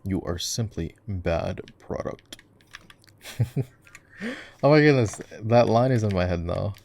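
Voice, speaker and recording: Low, gravelly young-sounding gamer guy. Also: lively intonation, slow pace, a little mellow. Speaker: voice actor playing a gamer boyfriend, coaching a game. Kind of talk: casual ASMR roleplay chat while gaming.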